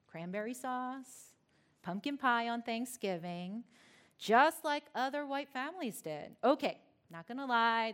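Woman giving a storytelling talk. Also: a little high. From Philadelphia, United States. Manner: warm storytelling with playful asides to the crowd. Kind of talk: personal talk to a live audience.

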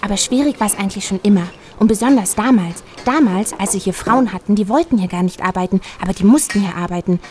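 An actress playing a talkative, helpful factory guide in a radio play, eagerly explaining things. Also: female voice, mid-range voice, fast, hardly any pauses, darkish tone, a little hiss or hum, lightly acted. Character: chatty, helpful woman guiding a visitor round village factory.

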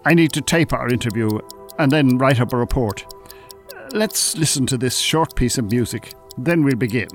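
An actor playing a smooth, calculating Irish con-artist hypnotist in an audio drama, soothing and commanding in a deliberate way. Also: male voice, mid-range voice, expressive, faint music behind, close to an everyday voice. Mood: polite, smooth.

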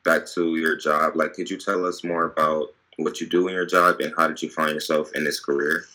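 A young man hosting an interview in casual chat, halting and full of fillers. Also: a bass voice, level tone, bright, forceful tone. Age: young adult. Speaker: podcast host.

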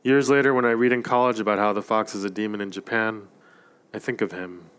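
A man reading a literary story aloud in a measured, reflective way. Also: lowish voice, fairly even intonation, fairly bright tone, clear, resonant tone. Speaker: narrator.

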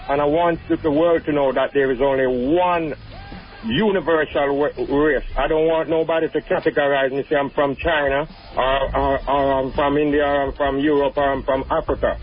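A man's voice: medium pitch, dull audio. A Jamaican man, a musician guest on a radio show, talking earnestly and emphatically over the phone and repeating himself for stress. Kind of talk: casual radio interview chat. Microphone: phone line.

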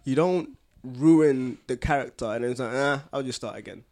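A man's voice: medium pitch, moderate pace, a little mellow. A man chatting casually as a podcast panellist, with an informal, slangy and joking way of talking. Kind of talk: casual chat.

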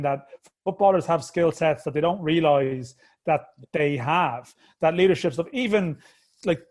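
A man hosting an interview, asking long, rambling questions with frequent restarts. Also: a little high.

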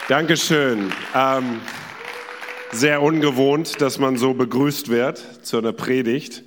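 A man from India preaching as a guest, telling personal anecdotes in an informal, hesitant way with many pauses. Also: mid-range voice, fairly even intonation, crowd noise, noisy background. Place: large church hall. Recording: echoey hall with long reverb.

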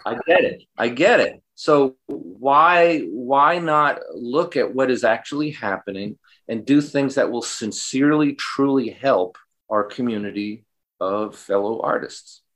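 A man speaking as an interview guest, giving earnest, deliberate and emphatic answers in a reflective way. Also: medium pitch, a bit bright.

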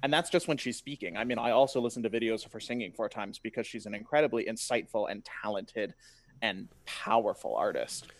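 A man's voice: lowish voice, brisk. Enthusiastic male co-host. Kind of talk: casual chat.

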